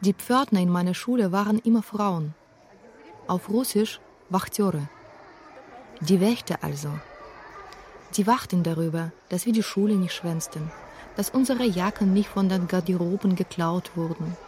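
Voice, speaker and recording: A woman with a fairly low voice narrates a radio feature. She reads scripted, literary documentary text as its author. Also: moderate pace, a little mellow, slight background noise. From former Soviet Union.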